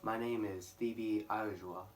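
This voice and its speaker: Brief: earnest teen schoolboy, lowish voice, recorded from a distance over soft music. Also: male voice, steady tone, a little slow. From California. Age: teens. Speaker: student. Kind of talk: speaking to camera for a school video. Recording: distant mic, faint music behind.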